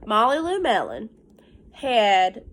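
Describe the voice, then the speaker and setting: Slow-paced, higher-voiced woman teacher reading to children. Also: wildly swooping pitch. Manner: simple, emphatic, encouraging talk aimed at young children. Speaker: teacher reading aloud to young pupils. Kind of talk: animated picture-book read-aloud with warm asides to children.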